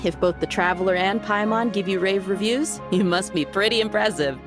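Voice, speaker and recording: A woman's voice: mid-range voice, a little fast, music in the background, natural voice. A woman playing a bold, easygoing character in a video game. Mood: amused, respectful.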